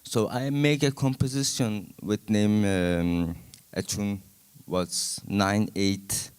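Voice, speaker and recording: A man from Denmark, a folk musician speaking as a panel guest, soft-spoken and halting with many fillers. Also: on the low side, steady tone, smooth. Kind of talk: panel talk answer.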